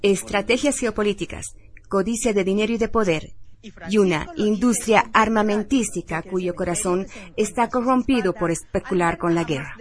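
A woman's voice: medium pitch, moderate pace. A woman presenting radio news, reading scripted headlines and reports. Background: music.

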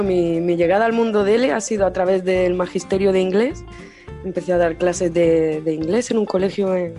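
A woman's voice: lowish voice, mellow. A woman from Spain, a language teacher, explaining things as an interview guest with small restarts. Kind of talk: casual interview chat.

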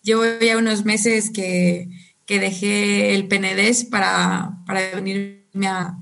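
A woman's voice: mid-range voice, level tone, slow pace, gravelly, a crowd in the background. A Mexican woman, a wine professional, talking casually as a podcast guest, laughing often and repeating words as she thinks. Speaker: guest winemaker.

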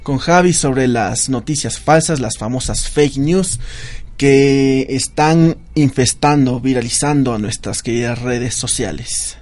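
A man's voice: medium pitch, a little slow, darkish tone. A man hosting a radio show, greeting listeners at length with enthusiasm.

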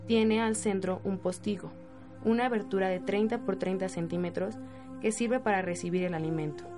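A woman's voice: mid-range voice, steady tone, normal speed. Woman narrating over music. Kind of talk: scripted radio drama narration.

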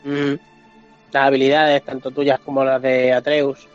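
A man's voice: mid-range voice, level tone, a little slow. A man with a Spanish accent from Spain, co-hosting a casual video game chat in colloquial, sweary language. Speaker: podcast co-host. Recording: muffled lo-fi podcast, music underneath.

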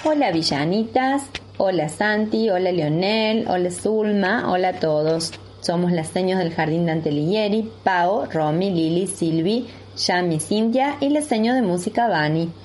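Lively Argentine woman storyteller over noise. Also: fairly low pitch, a little slow, hardly any pauses. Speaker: kindergarten teacher. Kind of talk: greeting and storytelling for young children. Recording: clear background noise.

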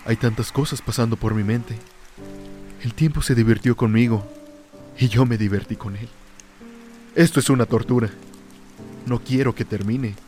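A man's voice: mid-range voice, fairly even intonation, moderate pace, pauses often, dark tone, lightly acted. A man performs a tormented, solitary drunkard in a radio drama, slipping into fear and madness. The voice sits in a middle male register, brooding and theatrical as a first-person soliloquy. Music and rain play behind it. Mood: brooding, melancholic.

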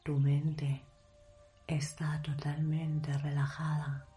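A woman leading a guided meditation in a hushed, measured delivery, leaving long pauses between short phrases. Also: low voice, little pitch movement. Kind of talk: guided meditation, slow soothing narration.

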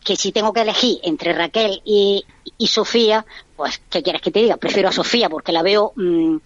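A woman's voice: medium pitch, moderate pace, dry recording. Dull lo-fi sound, blunt talkative woman. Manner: talkative, blunt, repeats herself. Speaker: radio guest, reality show fan commentator. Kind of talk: casual opinionated chat.

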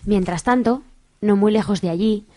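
Female narrator, radio serial; dark, relaxed delivery of scripted recaps. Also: medium pitch, normal speed, no room sound.

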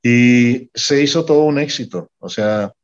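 A man's voice: mid-range voice, measured pace, muffled recording. A man from Mexico, a music promoter, telling stories from his career in an interview and acting out the conversations. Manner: animated anecdote-telling, acting out dialogue. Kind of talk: storytelling in an interview.

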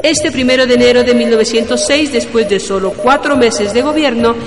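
An actress playing a formal news announcer delivering a period bulletin in a radio drama. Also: female voice, a little high, brisk, close to an everyday voice. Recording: echoey hall-like reverb.

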